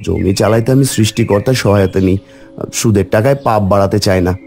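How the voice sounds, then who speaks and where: A man's voice: lowish voice, a little fast, a little mellow, soft background music, lightly acted. A man voicing a poor, honest, devout village farmer in a cartoon, speaking earnestly and emphatically. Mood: defiant, indignant. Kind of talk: cartoon voice acting.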